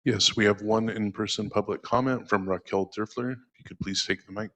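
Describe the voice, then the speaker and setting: A man serves as clerk at a public meeting. He announces each speaker in short, courteous procedural lines, and his voice sits somewhat low. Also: steady tone, brisk, pushed and bright, slight background noise.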